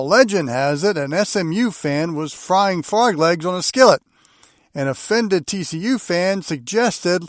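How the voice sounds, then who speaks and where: An American man from Arkansas hosting a sports radio show and interviewing guests. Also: high voice. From Arkansas, United States.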